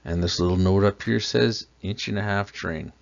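Low-voiced man teaching over slides. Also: steady tone, moderate pace, vocal fry. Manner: patient, explains step by step with questions. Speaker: plumbing instructor. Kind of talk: slideshow lesson narration. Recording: dull lo-fi voice-over.

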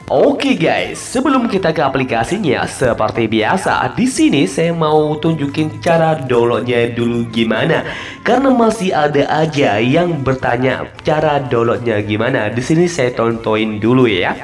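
A man narrates a tutorial in a mid-pitched voice over background music. He talks informally, walking through each step, repeating himself and stretching the ends of his phrases. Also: sing-song, moderate pace. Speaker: tutorial host. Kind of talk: casual app tutorial voice-over.

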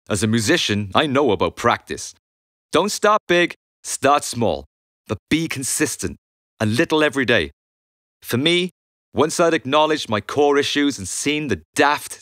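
A man's voice: mid-range voice, fairly bright tone, no echo, studio quality. A man narrating his own audiobook memoir in a conversational, blunt and emphatic way. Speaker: author and musician reading own audiobook.

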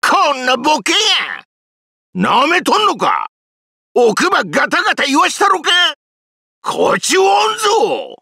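Gravelly, roaring Kansai thug of a fire god. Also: male voice, extremely high pitch, huge pitch swings, normal speed, sharp tone, dry recording, raised voice, studio quality, heavily acted. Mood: furious. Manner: roaring, threatening. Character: brash, violent male fire god talking like a thug. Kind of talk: theatrical Japanese game villain lines.

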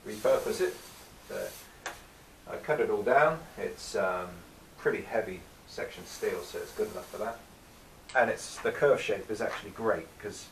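A man's voice: fairly low pitch, reverberant, recorded from a distance, a little hiss or hum, rough recording. A man in his thirties with the flu, casually explaining his boat build while working in a cold garage workshop. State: has the flu.

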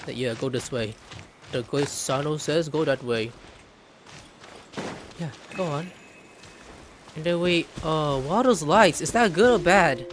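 A man streaming a game with casual commentary, playful and slangy, often exclaiming. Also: a little high. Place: indoor room, webcam gaming setup. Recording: game music behind the voice.

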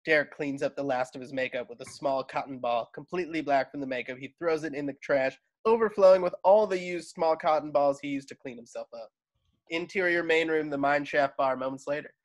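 Man narrating, slightly high voice. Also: brisk. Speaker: narrator of a table read. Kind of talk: reading screenplay stage directions aloud. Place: at home on a video call.

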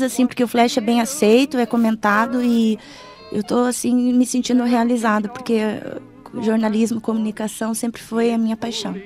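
A middle-aged woman talks conversationally as a radio guest. Her voice sits on the high side, and faint music plays behind her in the studio. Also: darkish tone. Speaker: interview guest, blogger and video presenter. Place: small foam-padded radio studio. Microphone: studio microphone.

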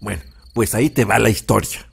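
An actor playing a gentle old Mexican village grandfather who soothingly tells ghost legends in an audio drama. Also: lowish voice, fairly even intonation, a little fast, vocal fry, a little hiss or hum. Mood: nostalgic storytelling. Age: older.

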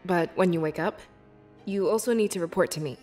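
A woman playing a young, devoted beast-folk scribe in a fantasy video game, with earnest, measured and expressive delivery. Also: fairly low pitch, faint music behind, lightly acted. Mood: explanatory, friendly. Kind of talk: scripted video game character dialogue.

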